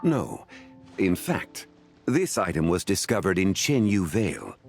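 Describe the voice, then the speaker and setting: A man voicing a dignified, learned antiquities connoisseur in an animated game, formal and composed. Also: deep voice, creaky, soft background music, exaggerated voice. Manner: formal, measured, erudite.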